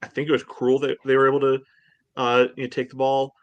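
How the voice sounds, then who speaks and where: A man talking through a soccer match casually as a podcast host, in a halting way peppered with "you know.". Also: mid-range voice, monotone, dry recording, lo-fi sound.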